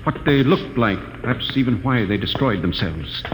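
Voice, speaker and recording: An actor playing a thoughtful, fascinated expedition scholar, musing and explaining in 1950s science fiction radio style. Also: male voice, mid-range voice, animated, normal speed, over music, lightly acted. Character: thoughtful, fascinated male scholar of alien ruins. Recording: noisy old radio recording, long reverb.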